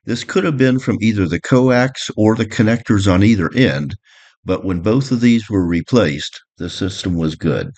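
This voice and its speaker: A man's voice: fairly low pitch, normal speed, darkish tone, breathy edge. A man presenting a boat electronics guide in an instructional, explanatory narration.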